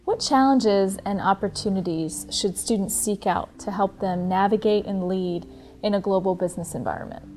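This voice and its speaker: A woman interviewer asking prepared questions in a measured, formal way. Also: medium pitch, a little mellow, slight background noise.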